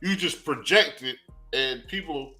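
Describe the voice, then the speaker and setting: A man co-hosting a podcast in casual chat, talking in an animated, emphatic, joking way. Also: mid-range voice, measured pace, faint music behind, low-quality recording. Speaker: podcast co-host.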